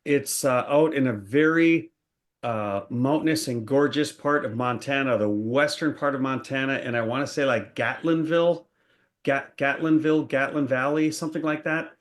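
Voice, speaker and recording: A middle-aged or older man talks casually as a pastor co-hosting a chat show. His voice has an average pitch, and his delivery is conversational. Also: normal speed. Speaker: pastor, podcast co-host.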